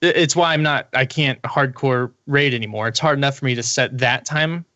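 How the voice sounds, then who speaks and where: A man's voice: mid-range voice, moderate pace, sharp tone, no room sound, highs cut off. A man talking casually as a panelist in a gaming discussion. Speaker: podcast panelist.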